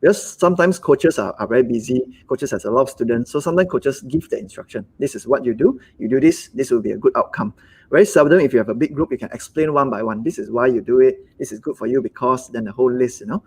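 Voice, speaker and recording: A husky-voiced man with a Malaysian English accent talks about his business in a live online chat. He speaks haltingly, repeats words and acts out little quotes along the way. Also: medium pitch, rough recording. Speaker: business owner guest. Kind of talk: live webinar conversation.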